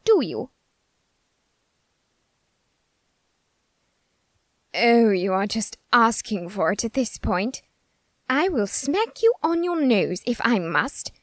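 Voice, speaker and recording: A flamboyant supernatural being played by a woman, speaking in a high, unhurried voice that swings widely in pitch. The manner is theatrical and haughty, full of condescending sarcasm and quick exasperation, as in a close, dry ASMR character roleplay. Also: dry recording. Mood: haughty, scolding.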